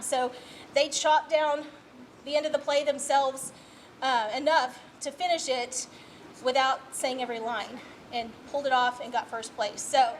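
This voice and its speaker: A woman's voice: sits high, a little hiss or hum. A woman speaking informally and unscripted as a school drama director introducing a play, in a chatty, explanatory way. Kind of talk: informal unscripted speech to an audience.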